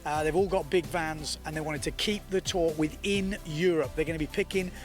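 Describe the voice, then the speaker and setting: Upbeat guy hosting a sports report, pretty high voice, bit of music faint behind. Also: normal speed. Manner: energetic, emphatic, explains to viewers. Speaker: presenter. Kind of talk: enthusiastic sports report presenting.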